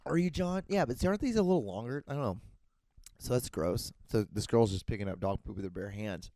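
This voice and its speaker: A man's voice: mid-range voice, animated, fast, warm tone, no echo. A man hosting a casual solo podcast, rambling crudely and tripping over his words as he reads posts aloud. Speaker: podcast host.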